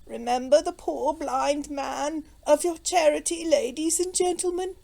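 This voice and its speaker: A feeble blind beggar man from a storybook, put on by a woman. He calls out in a high, plaintive plea, stretching out his words. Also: vocal fry, dark tone. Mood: pleading. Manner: plaintive, drawn-out calling.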